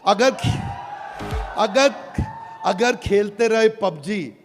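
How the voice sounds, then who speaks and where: A man's voice: sits high, animated, extremely slow, faint music behind. A man with an Indian accent giving an emphatic motivational sales presentation on stage, calling out to his audience and repeating phrases. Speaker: business speaker presenting a product launch. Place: large event hall with live audience. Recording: echoing hall, audience noise, loud background.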